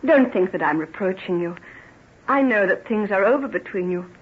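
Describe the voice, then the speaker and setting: Husky, devoted sweetheart, old radio. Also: female voice, medium pitch, expressive. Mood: quiet, devoted. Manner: emotional, halting, earnest. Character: devoted, self-effacing plain woman in love. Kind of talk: old-time radio drama acting. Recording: muffled, rough old radio recording.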